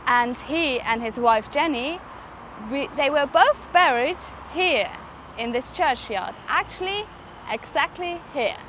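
Higher-voiced young woman vlogging. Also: lively intonation, slow pace, music in the background, low-quality recording. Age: 20s. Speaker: vlogger, presenter. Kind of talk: travel vlog presenting to camera. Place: outdoors in a churchyard. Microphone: clip-on lapel mic.

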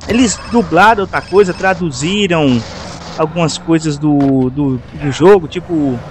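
A man's voice: a little high, unhurried. A Brazilian man giving casual gameplay commentary, slangy and full of tag questions. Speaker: gaming video host. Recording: noisy game and car sounds behind. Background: game traffic ambience.

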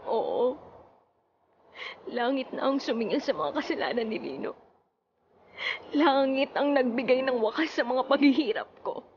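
An actress playing a long-suffering young widow who talks softly and emotionally, on a muffled radio drama recording. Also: female voice, high voice, lively intonation, unhurried, long pauses, slight background noise. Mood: sorrowful.

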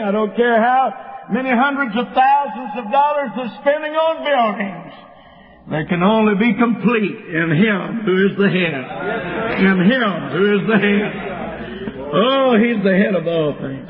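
A man's voice: very high-pitched, long reverb tail, lo-fi sound. A man preaching a sermon in a church with a fervent, rhythmic preaching cadence.

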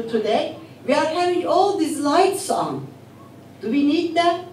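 An older woman, an English speaker, speaking on a panel and asking the audience rhetorical questions in an animated lecturing way. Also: fairly high pitch, a little hiss or hum. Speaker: panelist, academic. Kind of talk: lecture-style panel talk. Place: conference room with audience. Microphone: podium mic, recorded from far camera. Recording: roomy hall audio from far off.

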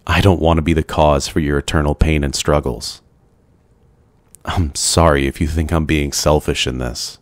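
A man playing a brooding, lonely, tender vampire in an ASMR roleplay, speaking hesitantly and gently with old-fashioned courtly phrasing. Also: rumbling low, dry recording. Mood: distressed, pleading.

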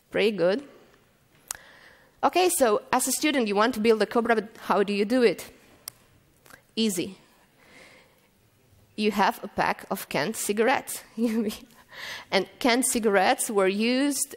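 A woman in her thirties speaks into a stand mic on a conference stage, her voice carrying a long reverb through the hall. She tells her stories in a relaxed way, with frequent ums and small restarts. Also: mid-range voice. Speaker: keynote speaker. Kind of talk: conference talk. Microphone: stand mic at lectern.

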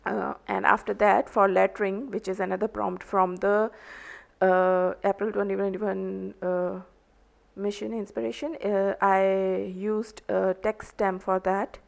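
Indian woman, Hindi native, unhurried crafting voice-over, lots of pauses and fillers. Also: mid-range voice, flat delivery, vocal fry. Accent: Indian English. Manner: slow, hesitant, many ums and uhs. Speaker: art journaling crafter.

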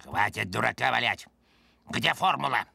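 A man playing a gruff, blunt talking wolf, a sidekick in an animated cartoon. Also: mid-range voice, moderate pace, slight background noise, full character voice. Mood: impatient. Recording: loud cartoon music underneath.

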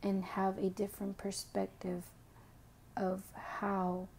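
A young woman with a Mexican background reading a prepared self-introduction as an education student, slowly and carefully. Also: mid-range voice, monotone, creaky, a little mellow, slight background noise. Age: young adult. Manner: slow, careful reading, switching to Spanish for a passage.

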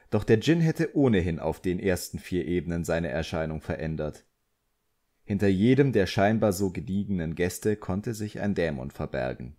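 A man's voice: low-pitched, darkish tone. A man reading a novel as scripted audiobook narration.

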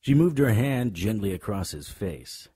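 A hard-boiled private eye in a noir radio play. His voice is very low and gravelly, telling the story in the first person with dry wisecracks and tough-guy grit. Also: very animated, unhurried, dark tone, no room sound, heavily acted. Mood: wry narration. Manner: wry, tough-guy delivery.

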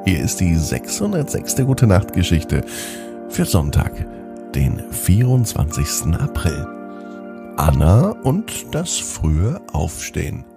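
A man's voice: low voice, mellow, slightly rough, dry recording. A man hosting a children's podcast and narrating a scripted bedtime story, speaking expressively and directly to young children.

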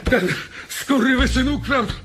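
An actor playing an angry, excitable ranch hand in a radio drama. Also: male voice, extremely high pitch, slow, noisy background. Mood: angry, agitated.

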